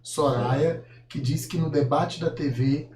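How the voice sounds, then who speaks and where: A man's voice: a little high, steady tone, takes it slowly, darkish tone. A Brazilian man speaking casually as a co-host who puts listener questions to a guest. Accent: Brazilian Portuguese. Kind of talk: casual interview talk. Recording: echoey room, faint background noise.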